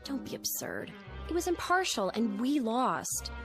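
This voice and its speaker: A woman's voice: a little high, very animated, unhurried, soft background music. An actress in a radio drama playing a cool, educated, resigned woman, articulate and dryly disdainful. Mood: scornful.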